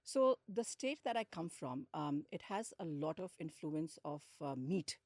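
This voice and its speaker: Low-voiced Indian-accented woman, close dry sound. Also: big pitch swings, measured pace, a little mellow, no echo. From India, grew up in Lucknow and Hyderabad. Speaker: podcast guest, hospitality executive. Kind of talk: conversational interview storytelling.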